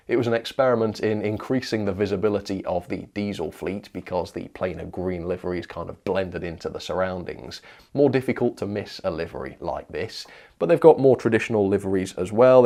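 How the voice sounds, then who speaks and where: Low-pitched man presenting hobby news. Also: a little fast, warm tone. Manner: enthusiastic, chatty, opinionated. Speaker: presenter of a model railway news show. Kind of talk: informal product news review to camera or as voice-over.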